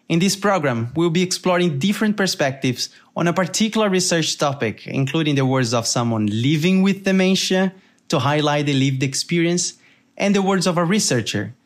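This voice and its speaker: A young man with a Brazilian accent, hosting a podcast in an earnest, measured scripted narration. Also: a little high. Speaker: podcast host.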